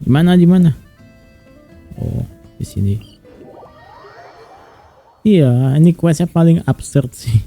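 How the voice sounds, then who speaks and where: Indonesian man, game streamer, very dark mellow voice, casual commentary, faint music behind. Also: fairly high pitch, lively intonation, measured pace, long pauses.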